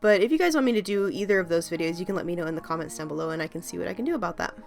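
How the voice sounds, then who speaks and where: A woman's voice: fairly low pitch, expressive, rapid-fire, creaky, darkish tone, soft background music. A woman hosting her art video, talking in a casual, rambling voice-over with many ums and small laughs, her voice still slightly scratchy from a cold.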